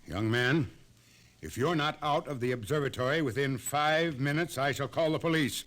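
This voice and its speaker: An actor playing an indignant, imperious astronomer, stern and curt, in a 1950s radio drama. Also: male voice, mid-range voice, moderate pace, fairly bright tone, lightly acted. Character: indignant, imperious astronomer guarding his observatory. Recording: vintage 1950s radio recording.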